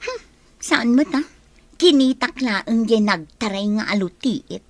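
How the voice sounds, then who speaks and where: Actress as a slow-talking coy Filipino secretary. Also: female voice, fairly high pitch, animated, no room sound. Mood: defensive. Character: coy young female secretary smitten with her boss. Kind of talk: Ilocano radio comedy drama acting.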